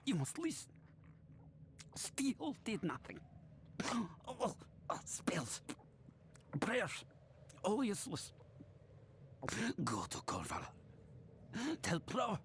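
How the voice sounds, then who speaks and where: Gasping, dying soldier, very slow. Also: male voice, high voice, a bit bright, a little hiss or hum, heavily acted. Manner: halting, broken, desperate. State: gasping, weak, in pain. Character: dying soldier, horrified survivor of a demonic massacre. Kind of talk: dramatic video game cutscene acting.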